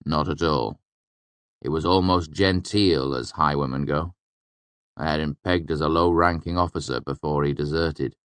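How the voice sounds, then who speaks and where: A man voicing a sardonic, composed scribe with a grudge in a storybook, speaking in a measured way. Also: very deep voice, fairly even intonation, fairly bright tone, dry recording. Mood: angry, bitter. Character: dry, aggrieved male scribe, sharp and composed.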